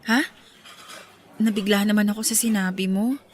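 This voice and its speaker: A woman playing a sweet, bashful young girlfriend in a radio drama, polite and expressive. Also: mid-range voice, moderate pace, slight background noise, lightly acted. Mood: surprised, happy.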